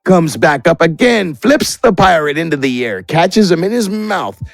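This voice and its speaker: A man's voice: fairly high pitch, big pitch swings, moderate pace, no echo. A man narrating a tabletop game as game master, with theatrical, improvised storytelling.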